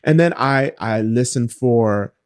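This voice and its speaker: A man with a naturally high speaking voice talks as a vocal coach being interviewed. He explains his method earnestly and with emphasis, step by step, at a medium pitch. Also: takes it slowly, clear, resonant tone, no echo, clean studio sound. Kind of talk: casual interview conversation.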